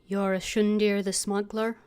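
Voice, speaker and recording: A woman's voice: mid-range voice, level tone, measured pace, a little mellow, smooth. A woman streamer playing a video game, reading dialogue aloud and muttering to herself.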